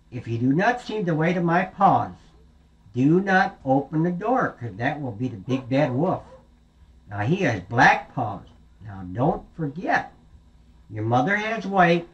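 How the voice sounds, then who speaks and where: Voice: mid-range voice, very animated, measured pace, dull audio. A storyteller voicing an anxious, caring mother goat who warns her children with old-fashioned words. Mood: warning. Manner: fussy, warning, old-fashioned speech.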